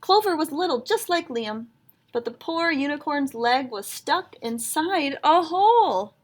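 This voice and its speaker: A woman reading a children's picture book aloud in an expressive storytelling style. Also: high voice, a little slow.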